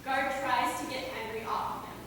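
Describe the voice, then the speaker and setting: A woman's voice: fairly high pitch, fairly even intonation, normal speed. A woman narrating scripted stage directions at a staged reading. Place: theater hall, mic at a distance. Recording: echoey hall, distant, noisy.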